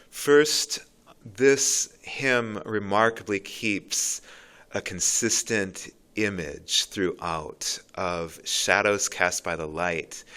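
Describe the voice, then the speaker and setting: A man with a low voice giving earnest, reflective commentary on a poem, pausing and restarting as he thinks. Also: sharp tone, smooth. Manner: thoughtful, earnest, with pauses and restarts. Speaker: literature commentator.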